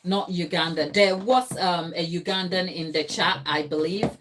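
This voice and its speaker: A woman's voice: on the low side, moderate pace, background noise. A woman from Ghana hosting a live cake tutorial from her kitchen, explaining steps and answering viewers in the chat. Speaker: baking channel host. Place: home kitchen.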